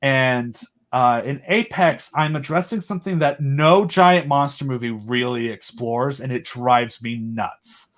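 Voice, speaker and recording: A man's voice: medium pitch, measured pace, few pauses, fairly bright tone. A man talking as a guest in a casual chat, enthusiastic and rambling, with lots of fillers and restarts. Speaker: podcast guest and audio drama creator.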